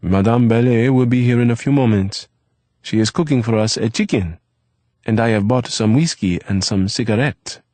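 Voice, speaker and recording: A man voicing a gentle, wistful young man who grew up on the streets, speaking in soft, formal phrases. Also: mid-range voice, normal speed, no echo, voice pushed up, lightly acted. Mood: fussing.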